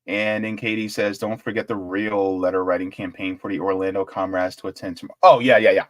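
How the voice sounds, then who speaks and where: A young man hosting a live political show, chatting casually and rambling, with excitable bursts. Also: low-pitched, brisk, no echo, studio quality. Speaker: political commentary host. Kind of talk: casual live-stream sign-off chat.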